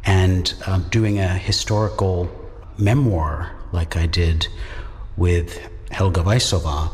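A man who teaches Czech at a university, answering interview questions in a measured, reflective way with pauses. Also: sits low, steady tone, in a large hall, slight background noise. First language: English. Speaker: university lecturer in Czech studies.

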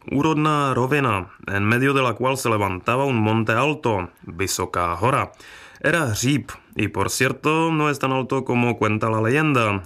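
A man presenting a scripted radio language lesson in a clear, didactic manner. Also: medium pitch, a bit bright. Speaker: radio host.

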